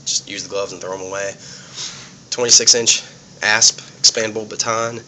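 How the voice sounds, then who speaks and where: A man's voice: on the low side, fairly even intonation, normal speed, pushed and bright, slight background noise, rough recording. A man giving a casual, plainspoken walkthrough of his police gear, explaining things simply and sounding sniffly from a cold. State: sniffly, getting over a cold.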